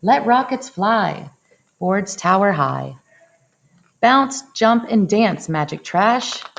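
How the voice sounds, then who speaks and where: A woman voicing a passionate, proud male street artist in a picture book, exclaiming loudly. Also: mid-range voice, lively intonation, takes it slowly, creaky, full character voice. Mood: jubilant. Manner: loud, impassioned exclaiming.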